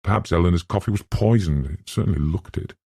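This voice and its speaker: A man's voice: deep voice, fast, gravelly, a little mellow, slightly rough, no room sound, clean studio sound. A man reading a novel aloud as scripted audiobook narration.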